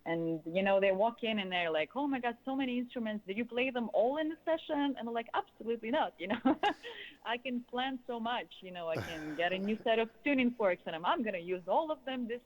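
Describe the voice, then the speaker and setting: A woman in her thirties with a Russian accent, answering interview questions in loose, flowing, expressive phrases peppered with "you know". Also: on the high side, fairly quick, rough recording. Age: 30s. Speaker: guest, sound healer and musician.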